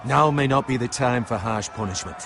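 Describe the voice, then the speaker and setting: A man's voice: medium pitch, a little fast, lightly acted. A man playing a cautious, reasonable adviser to a lord in a fantasy video game.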